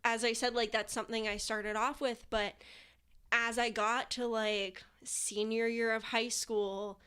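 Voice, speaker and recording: Chatty young woman with a bright pitch. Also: a little slow, clean tone. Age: 20s. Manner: chatty, filler-heavy, animated retelling. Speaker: guest musician. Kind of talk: casual interview chat.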